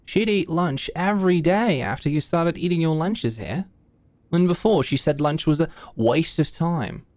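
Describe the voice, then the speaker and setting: A woman's voice: low-pitched, animated, measured pace, a little mellow, dry recording. A woman playing a composed, wry senior corporate mentor who muses and confides in a scripted ASMR audio roleplay. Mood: fond, wry.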